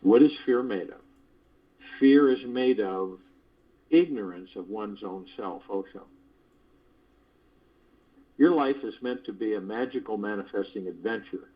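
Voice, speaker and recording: A man hosting a guided meditation on a conference call, speaking in measured, deliberate phrases with pauses, like a spiritual motivational talk. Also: fairly low pitch, breathy edge. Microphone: phone conference call. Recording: dull lo-fi conference call audio.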